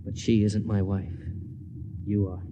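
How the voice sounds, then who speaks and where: An actor playing an earnest, troubled rocket captain and husband in a 1950s radio drama. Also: male voice, fairly low pitch, level tone, a little slow. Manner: earnest, urgent. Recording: dull, hissy old radio recording.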